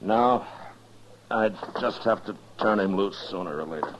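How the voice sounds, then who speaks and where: Guy doing a steely old west marshal, real low voice, terse, dull hissy 50s radio drama recording. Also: lightly acted. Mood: grave, resolved. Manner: terse, commanding, deliberate. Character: stern, steely frontier lawman, a US marshal. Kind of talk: 1950s radio western acting.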